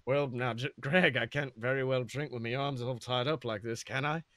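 A man acts out a roguish pirate captain in a comic story. He speaks lower, with wary, hesitant politeness and a streak of wounded pride. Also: steady tone, normal speed, fairly bright tone, no room sound, close to an everyday voice. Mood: nervously polite. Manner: hesitant, placating, proud when provoked. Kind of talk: comedic fan fiction character reading.

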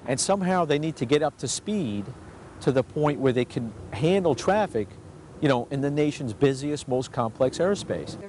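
A man's voice: mid-range voice, expressive, normal speed, a little mellow, a little hiss or hum. A middle-aged man speaking as a union representative in an interview. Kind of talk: interview soundbite.

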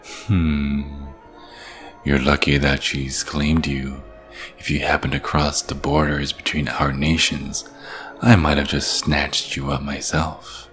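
An actor playing an ancient, menacing and seductive vampire king who taunts slowly in an ASMR roleplay. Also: extremely low pitch, level tone, a bit bright, faint music behind, exaggerated voice. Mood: seductive menace. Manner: slow, taunting, purring menace.

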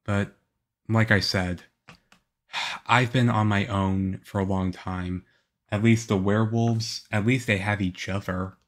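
A man playing a shy, moody, lonely young half-vampire boyfriend in an ASMR roleplay, with a hesitant, halting, earnest delivery. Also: on the low side, fairly even intonation. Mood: teasing.

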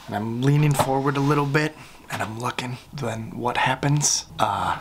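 A man in his thirties telling a comic story at home to a close camera, animated and profane, jumping into whispers and mock-grand phrasing. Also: mid-range voice, a little hiss or hum. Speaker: vlogger. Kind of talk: comedic storytelling rant. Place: living room at home, indoors. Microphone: camera close up at face level.

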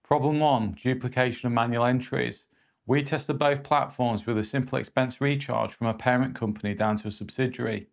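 A man in his forties presenting a scripted review in a measured, matter-of-fact way. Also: medium pitch, steady tone, vocal fry.

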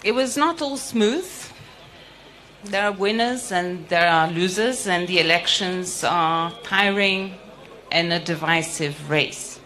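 A middle-aged woman delivering a formal ceremonial speech as a diplomat, speaking in measured phrases with pauses, into a handheld microphone at an outdoor ceremony. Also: fairly low pitch, a bit bright. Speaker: EU diplomat giving an official address. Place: outdoor ceremony with a large seated audience. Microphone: handheld microphone held close.

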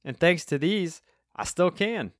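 Audiobook role: eager, boastful elderly Cockney bassist played by a male narrator, raised pitch, close dry sound. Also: moderate pace, dry recording, lightly acted. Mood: proud, cheery. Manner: chirpy, boastful, excitable. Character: cheery old Cockney double-bass player, proud and eager.

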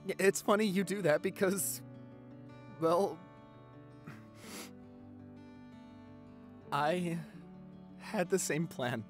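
A man playing a loving, sentimental vampire boyfriend in a romantic audio roleplay, speaking tenderly and haltingly with open emotion. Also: high-pitched. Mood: flustered, happy. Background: background music.

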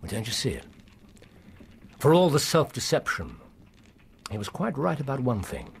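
An actor playing a shrewd, composed English gentleman detective in a Victorian radio drama, speaking with precise, measured authority. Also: mid-range voice, wildly swooping pitch, gravelly, slightly rough, full character voice. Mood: musing, ironic.

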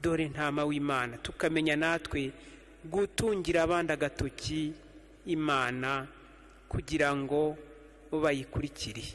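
A middle-aged priest with a Rwandan accent preaches from behind the altar into a stand microphone. His voice sits a little high for a man. His measured delivery returns again and again to slow, repeated refrains, and long church reverb trails every phrase. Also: steady tone, fairly bright tone. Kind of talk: homily at evening Mass. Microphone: stand mic on the altar, fixed camera.

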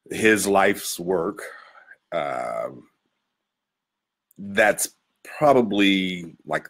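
Deep-voiced man, hesitant panelist. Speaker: actor, panelist. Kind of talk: informal remarks in an online panel. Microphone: video call.

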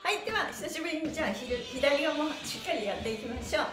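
A woman with a high, bright-spirited voice leads a livestreamed stretch class. She is cheerful and playfully polite, giving chatty instructions and rhythmic chants. The room is echoey, with soft music behind her. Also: expressive, quick pace. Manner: cheerful, very polite, playfully addressing body parts and devices with honorifics. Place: small indoor room with bare walls and mat, echoey.

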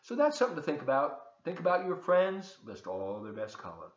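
Expressive older man reading aloud, very animated pitch. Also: fairly quick. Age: 70s. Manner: expressive, explains pictures to listeners. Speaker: volunteer storybook reader. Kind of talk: read-aloud storytelling for children with asides. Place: large open kitchen and living room, indoors. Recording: echoey room, slightly dull webcam sound.

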